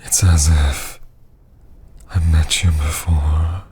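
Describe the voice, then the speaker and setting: An ancient, menacing vampire lord, acted by a man in an ASMR roleplay. The voice is low and very husky, sinking toward a whisper. Every phrase is drawn out extremely slowly, haughty and seductively taunting. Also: flat delivery, a little mellow, full character voice. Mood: amused menace. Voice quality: breathy, husky near-whisper. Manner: slow, taunting, theatrical.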